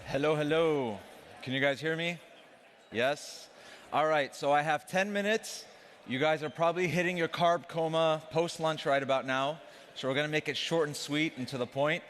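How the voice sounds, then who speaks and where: A man's voice: a little high, moderate pace, fairly bright tone. A young man from Afghanistan giving a conversational, joking conference talk on stage, speaking to the crowd directly. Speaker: conference speaker, company CEO. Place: large conference hall stage. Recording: long reverb of a large hall.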